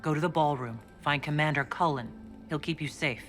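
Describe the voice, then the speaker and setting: Hero, male man actor: calm, direct, questioning, slightly high-pitched, faint music. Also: fairly quick, lightly acted. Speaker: player character, the Inquisitor. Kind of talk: video game cutscene dialogue.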